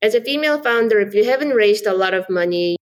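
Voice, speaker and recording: A woman's voice: medium pitch, expressive, fast. A woman from South Korea, a startup founder, giving earnest, explanatory answers as a podcast guest. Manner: earnest and explanatory, with repeated "absolutely" and "so".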